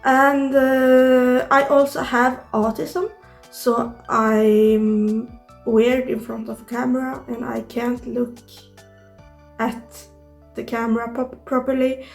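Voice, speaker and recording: Slow, creaky Swedish-accented young woman. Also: on the high side, little pitch movement, soft background music. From Sweden. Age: young adult. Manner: hesitant, many fillers and restarts. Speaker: YouTuber. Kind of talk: casual vlog talk to camera. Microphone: camera or device mic, no external mic.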